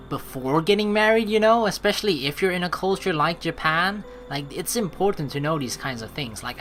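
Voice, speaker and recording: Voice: mid-range voice, a bit bright. A let's play streamer giving casual commentary, talking in a rambling, conversational way as if thinking aloud. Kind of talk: casual gameplay commentary mixed with reading game text aloud.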